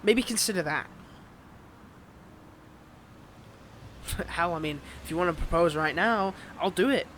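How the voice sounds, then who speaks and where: A man's voice: sits high, fairly quick, fairly bright tone, slight background noise. A man playing a smug, possessive villain in a romantic audio drama, flirting and taunting theatrically while courting a former lover. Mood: coaxing, wistful. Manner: flirtatious, taunting, theatrical, with pet names and veiled threats. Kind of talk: dramatic audio roleplay acting.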